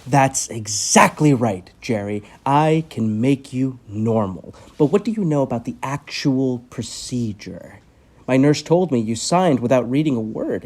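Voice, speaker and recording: A man's voice: mid-range voice, darkish tone. An imperious, menacing male doctor. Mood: controlled, condescending. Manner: imperious, condescending, deliberate. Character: menacing, controlling male conversion doctor, cold and condescending. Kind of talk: horror audio play acting.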